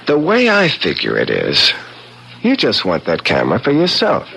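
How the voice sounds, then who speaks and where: A headstrong young man in a 1970s radio drama. He is ambitious and defiant, pushing every point with a mid-pitched, very lively voice. The delivery is insistent and argumentative. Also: moderate pace, vocal fry, breathy edge, exaggerated voice. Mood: defiant, suspicious.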